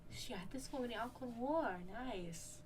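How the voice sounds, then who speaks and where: High, lively woman gamer reacting casually. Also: normal speed, background noise. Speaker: game streamer. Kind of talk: casual live gameplay reaction. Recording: distant mic, game music behind.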